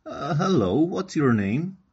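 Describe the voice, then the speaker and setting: A man's voice: on the high side, very animated, measured pace, darkish tone, slightly rough, voice pushed up, heavily acted. An Irish man voicing a polite, earnest little village girl for a storybook, in a sing-song manner. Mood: questioning, earnest. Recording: slightly dull lo-fi recording.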